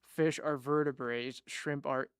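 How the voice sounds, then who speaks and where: A young man chats casually about sports with a friend, his voice smooth and resonant. He strings his thoughts together loosely with fillers and teasing. The sound is dry and close. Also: medium pitch, steady tone, takes it slowly, dry recording. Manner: chatty, lots of "like" and fillers, teasing. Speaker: podcast co-host.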